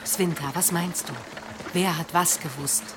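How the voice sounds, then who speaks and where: A woman acting a low-voiced, grave mother. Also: fairly even intonation, a little slow, noisy background, lightly acted. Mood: protective, soothing. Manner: soothing, grave. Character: sombre, protective mother calming her sick daughter. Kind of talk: German radio drama acting.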